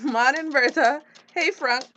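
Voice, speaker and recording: A woman's voice: high-pitched, unhurried, sharp tone, dull audio, a little hiss or hum. A woman acting a friendly, eager young community project worker in a radio drama, upbeat and chatty with a Caribbean accent. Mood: upbeat, curious.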